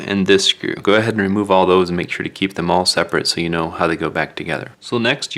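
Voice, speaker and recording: A man's voice: fairly low pitch, fairly bright tone. A man narrating a step-by-step repair tutorial in an informal, plain-spoken, explanatory way. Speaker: phone repair tutorial presenter. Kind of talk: hands-on step-by-step instructional narration.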